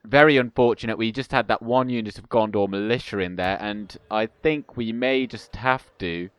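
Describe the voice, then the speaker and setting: Young male game host, stuffy with a cold, smooth voice, chatty and rambling. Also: lowish voice, normal speed, no room sound. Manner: chatty, rambling, self-correcting. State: congested with a cold. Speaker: gaming video host. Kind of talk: casual strategy game commentary.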